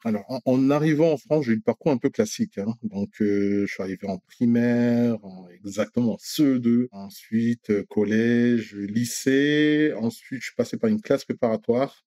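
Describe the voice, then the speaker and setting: A man in his thirties from Rwanda, talking as an interview guest, hesitant with many pauses and restarts. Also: mid-range voice, warm tone, no room sound. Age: 30s. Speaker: guest, company director. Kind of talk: casual interview chat.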